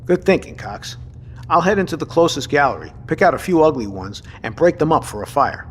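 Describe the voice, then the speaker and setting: An actor playing a gruff, impatient military commander with dry sarcasm in a naturalistic audio drama. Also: male voice, on the high side, sing-song, a little fast, a little hiss or hum. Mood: commanding.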